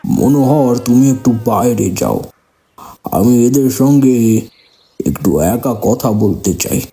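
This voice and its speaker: A man's voice: mid-range voice, expressive, slow, warm tone. An actor playing a wise elderly grandfather, composed and authoritative, in a horror audio drama. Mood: commanding. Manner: composed, commanding.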